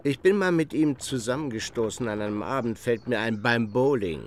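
An actor playing a condescending middle-aged gentleman who gossips and reminisces haltingly in a radio drama. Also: medium pitch, lively intonation, a little hiss or hum, natural voice. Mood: nostalgic, chuckling.

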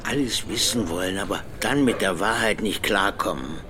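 An actor playing an ageing absent father in an audio drama, weary, guarded and unrepentant, with a grave, measured delivery. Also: fairly low pitch, background noise, lightly acted. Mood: grave, resigned. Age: older.

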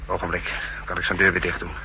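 An actor playing a gruff, brisk agent running a jailbreak, giving terse urgent orders in a 1960s radio drama. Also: male voice, low-pitched, monotone, close to an everyday voice. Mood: urgent, conspiratorial. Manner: terse, hushed urgency, bossy. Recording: noisy humming 1960s radio recording.

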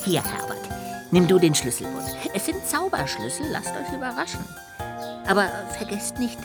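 Polite emotional little ghost, super expressive up and down, kids audio play with music behind. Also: female voice, fairly low pitch. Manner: formal, theatrical, expressive. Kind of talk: children's radio play acting.

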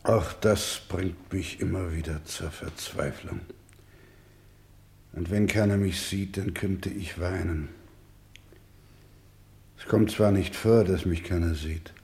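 An actor playing a weary, tender orphanage doctor in a German radio play, speaking gravely and gently. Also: male voice, low-pitched, takes it slowly, long pauses, full character voice. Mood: sorrowful.

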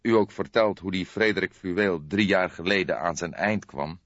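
A man voicing a grey-haired veteran police detective, kindly but insistent, questioning deliberately in an audiobook. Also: deep voice, a bit bright, no echo, muffled recording. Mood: insistent, intense. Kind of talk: audiobook character voice.

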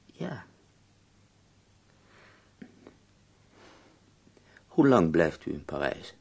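Ailing poet, very low gravelly voice, husky, tender, wry, formal, radio drama. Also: male voice, very animated, unhurried, a little mellow, heavily acted. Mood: courteous, wry. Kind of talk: Dutch radio play acting.

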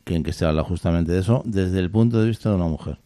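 Deep, smooth-voiced male radio host. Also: quick pace, no room sound, clean studio sound. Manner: steady, explanatory, tags lines with "¿no?". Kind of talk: casual radio chat about literature.

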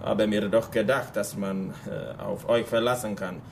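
A man's voice: lowish voice, fairly even intonation, measured pace, gravelly, a little hiss or hum. An actor playing a wry, hesitant Czech policeman with a Czech accent in a radio drama.

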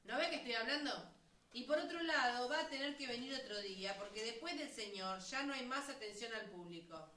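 A woman's voice: a little high, animated, brisk, pushed and bright. A woman playing a rude, dismissive counter clerk in a radio drama, curt and scolding, in a distant, echoey, noisy recording. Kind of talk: radio drama workshop acting.

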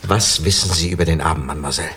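A man's voice: very deep voice, very fast, husky, heavily acted. An actor playing an agitated, probing amnesiac man with a head wound in a German radio crime drama. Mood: suspicious, probing. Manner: probing, insistent, stammering when excited.

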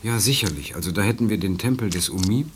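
A man playing a deadpan, obliging hotel porter in an improvised comedy radio play. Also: lowish voice, quick pace, lightly acted. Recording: noisy amateur 1980s radio-play recording.

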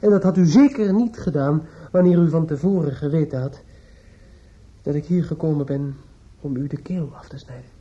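An actor in a radio drama playing a despairing, obsessive judge's son who is outwardly polite and inwardly bitter. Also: male voice, a little high, mellow, natural voice. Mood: icily polite. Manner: halting and hesitant with others, brooding and repetitive when alone. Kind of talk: radio drama acting with interior monologue. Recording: dull lo-fi old radio play recording.

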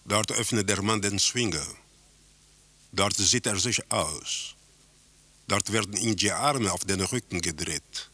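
Casting: cool, detached storyteller for surreal radio play, deliberate slow pace, lowish register. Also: male voice, sharp tone, no room sound, heavily acted. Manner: measured, detached, descriptive. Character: detached male narrator describing surreal scenes. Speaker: narrator. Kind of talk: scripted radio drama narration.

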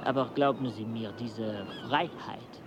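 A man in a radio play acting a weary travel companion who narrates in a measured, reflective way. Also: mid-range voice, fairly even intonation, background noise, natural voice. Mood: reflective narration.

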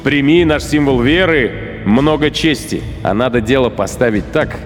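An actor playing a cold, boastful, fanatical SS battalion commander, delivering a theatrical, sardonic monologue in a radio play with deliberate emphasis. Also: male voice, medium pitch, natural voice. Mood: cynical, menacing. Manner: theatrical, sardonic, contemptuous chuckling asides, deliberate emphatic phrasing. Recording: reverberant hall sound, faint music.

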